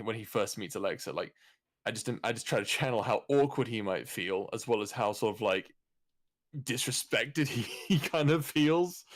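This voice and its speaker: Easygoing joking man in casual chat. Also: medium pitch. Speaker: voice actor, guest.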